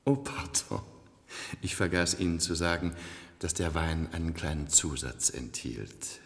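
A man acting a complacent, cultured ruler of a future elite in a 1970s radio play, suave, measured and condescending, in an echoey hall. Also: very deep voice, vocal fry, exaggerated voice. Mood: silky menace.

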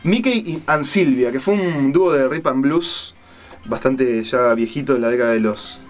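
A man with a Uruguayan accent hosting a casual radio chat, retelling stories in a halting, colloquial way and searching for words. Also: medium pitch. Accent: Uruguayan Spanish. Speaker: radio show host. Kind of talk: casual on-air chat, retelling a film and crime story.

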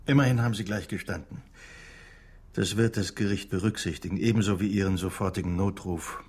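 A man's voice: deep voice, fairly even intonation, brisk, darkish tone, husky. An actor playing a dry, sardonic male defence lawyer in a radio crime drama. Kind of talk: German radio drama, narrating and dialogue.

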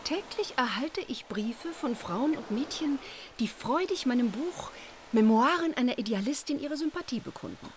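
High-minded, genteel idealist lady. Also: expressive, moderate pace, a little hiss or hum. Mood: earnest, visionary. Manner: lofty, eloquent, genteel phrasing. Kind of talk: German audio drama acting.

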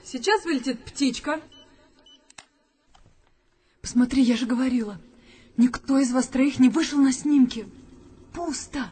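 A woman's voice: high-pitched, measured pace, long pauses, dark tone, slightly rough. An actress playing an anxious, devoted woman photographer in an audio drama. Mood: brisk, insistent.

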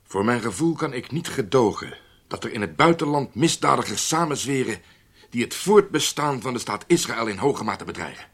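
A man's voice: medium pitch, sing-song, moderate pace, a bit bright, husky, exaggerated voice. A man acting in a radio drama as a stubborn, dutiful intelligence chief with a firm, clipped delivery. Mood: defiant.

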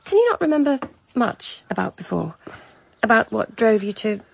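A hesitant, prying companion. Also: female voice, a little high, very animated, normal speed, vocal fry, husky, no room sound, full character voice. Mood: curious. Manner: hesitant, probing. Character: timid companion longing to escape, prying. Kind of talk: radio drama acting.

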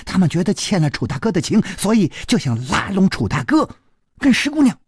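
A man's voice: sits high, sing-song, a little fast, husky, dry recording, clean studio sound, voice pushed up, exaggerated voice. A man voicing a cheeky, mocking half-grown street boy in a storybook. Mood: scornful.

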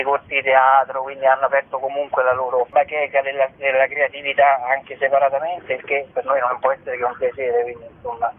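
A man speaking as an interview guest on a radio show, explaining at length in a discursive way over a telephone. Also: medium pitch. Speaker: musician and theatre author, interview guest. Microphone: phone call to a radio show. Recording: narrow telephone-band sound.

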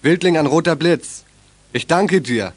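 A man's voice: on the high side, measured pace. A young male actor playing a cool-headed, helpful teenage radio hobbyist in a children's audio drama. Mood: cool, matter-of-fact.

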